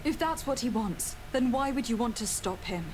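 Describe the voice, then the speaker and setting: A woman's voice: fairly high pitch, expressive, normal speed, natural voice. An actress playing a composed, principled noblewoman legate in a video game, speaking with formal, firm courtesy. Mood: reproachful. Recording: clear background noise from game ambience.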